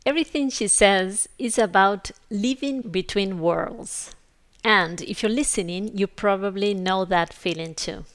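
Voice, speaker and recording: Woman, podcast host, scripted reflective narration, slow pace, medium pitch.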